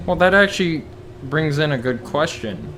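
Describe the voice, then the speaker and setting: A man's voice: on the high side, lively intonation, normal speed, a bit bright. Male podcast host, casual, faint music.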